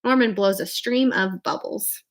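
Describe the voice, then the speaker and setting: A woman's voice: medium pitch, measured pace. A woman reading a picture book aloud expressively, with chatty, casual asides to her listeners. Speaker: read-aloud storyteller.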